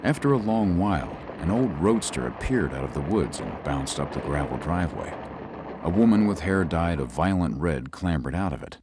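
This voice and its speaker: An actor playing a young lawyer who narrates a ghost story in a measured way. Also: male voice, deep voice, big pitch swings, noisy background. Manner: measured, tells the story with rising unease. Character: young male lawyer recuperating in the country. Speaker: narrator and lead.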